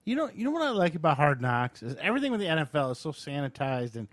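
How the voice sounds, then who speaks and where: A man's voice: a little high, very animated, quick pace, no room sound. A man co-hosting a podcast with casual banter in a blunt, salty, joking manner. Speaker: podcast co-host.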